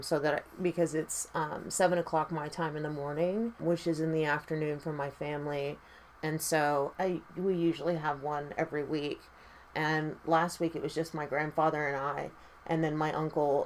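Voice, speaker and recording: A woman with a low, raspy voice talks through her makeup routine in a loose, rambling way, swearing casually as she goes. She sounds tired, and her speech drifts from one thought to the next. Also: a little mellow, a little hiss or hum, rough recording. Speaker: makeup vlogger. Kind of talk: casual chat while doing makeup.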